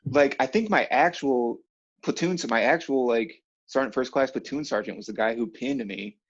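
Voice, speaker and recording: Husky man in casual chat, muffled sound. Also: medium pitch, moderate pace. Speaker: interview guest, military veteran.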